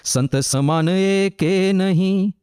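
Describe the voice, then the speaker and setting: A male monk delivers a devotional sermon in a fairly high voice. He draws out sung verses slowly, then explains them with building, repeated phrases. The sound is close and dry. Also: darkish tone, smooth, no room sound. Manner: chants verses slowly, then explains with rising emphatic repetition.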